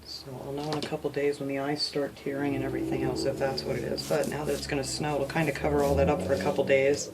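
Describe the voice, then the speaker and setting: A woman hosting a casual craft tutorial at home, chatting in a relaxed way with lots of "you know", and sounding a little sniffly. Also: very deep voice, quick pace, hardly any pauses, heavy background noise. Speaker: craft video host.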